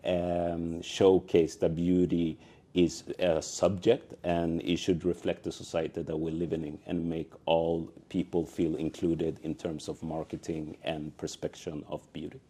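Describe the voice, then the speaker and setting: A man's voice: low-pitched, level tone, measured pace, mellow, husky. A young man from Sweden telling his founder story on a panel, acting out quoted dialogue with many fillers. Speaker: entrepreneur, panel speaker.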